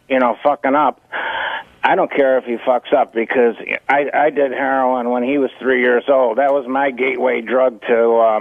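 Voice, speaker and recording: A man's voice: medium pitch, creaky, dry recording. Older man rambling over a tinny phone. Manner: hesitant, halting, swears. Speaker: phone-in caller. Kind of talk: casual rambling call-in opinion. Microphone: telephone. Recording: tinny narrowband phone line.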